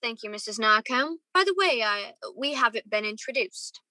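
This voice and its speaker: A woman's voice: fairly high pitch, lively intonation, moderate pace, sharp tone, no echo. A young woman playing a wistful, courteous man who narrates his memories lyrically in a radio play. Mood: polite. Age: young adult. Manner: lyrical, reflective storytelling. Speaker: student actor.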